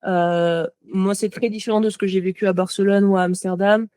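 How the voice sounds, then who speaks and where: A woman's voice: medium pitch, fairly even intonation, a little fast, dark tone, dry recording, studio quality. A young French woman, a motion designer, chatting as a podcast guest, talking freely with lots of fillers and restarts. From France. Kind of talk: casual podcast conversation.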